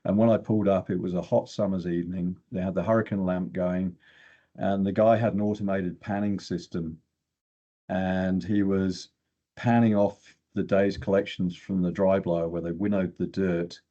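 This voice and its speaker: A man's voice: low-pitched, little pitch movement, moderate pace, mellow, lo-fi sound. An older man, an exploration geochemist, telling stories casually as an interview guest.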